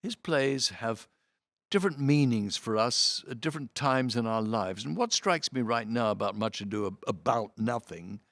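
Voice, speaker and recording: A man reads programme notes aloud with a theatrical flourish, his pitch moving freely from phrase to phrase. He phrases things deliberately and leans into key words for emphasis. The recording is close and dry. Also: no echo. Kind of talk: expressive read-aloud of programme notes with brief asides.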